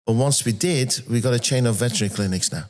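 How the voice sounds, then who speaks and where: A soft-spoken man in his fifties from Iran who moved to England as a teenager, telling personal stories as an interview guest. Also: mid-range voice, quick pace. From born and raised in Iran, moved to England at 13. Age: 50s. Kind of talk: animated personal storytelling in an interview.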